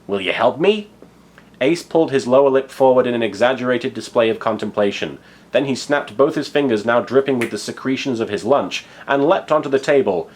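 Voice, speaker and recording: Theatrical man reading comic fiction, medium pitch. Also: a little fast, darkish tone. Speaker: host and fan fiction reader. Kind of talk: comedic storytelling, scripted narration with casual asides.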